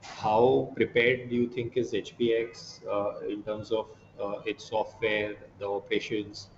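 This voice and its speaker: A man's voice: fairly low pitch, little pitch movement, a little slow. A man with an Indian accent asking questions as an investment analyst on a conference call, polite and hesitant in his phrasing. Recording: muffled, noisy conference line.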